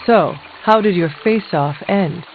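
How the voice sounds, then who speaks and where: A woman's voice: on the low side, unhurried, natural voice. Lady voicing a dry formal warrior woman for a game, kinda curious, tinny narrow audio, music underneath. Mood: curious, amused. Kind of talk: scripted video game dialogue. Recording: thin phone-like band, music behind.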